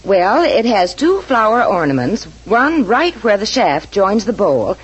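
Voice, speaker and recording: A woman's voice: mid-range voice, very animated, unhurried, breathy edge, dull audio. An actress playing an eager, earnest woman customer in a 1940s radio advertisement. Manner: earnest, emphatic. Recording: old radio broadcast recording.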